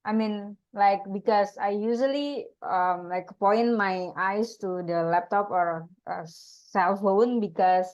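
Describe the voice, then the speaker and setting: A woman's voice: medium pitch, takes it slowly, few pauses, a little mellow, lo-fi sound. A woman in casual conversation, asking her coach questions as a learner and hesitantly searching for words.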